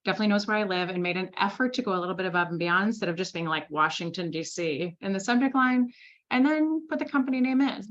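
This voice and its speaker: A woman from Switzerland presenting a sales masterclass in a lively, conversational way, often asking "right?". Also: medium pitch, fast talker, lo-fi sound. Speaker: sales trainer.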